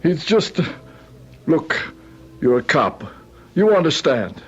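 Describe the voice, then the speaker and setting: High-voiced, excitable old shopkeeper. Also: male voice, slightly rough, faint music behind, full character voice. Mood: eager, confiding. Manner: effusive, halting, repeats himself, pleading. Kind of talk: old-time radio drama acting. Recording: muffled vintage radio drama recording.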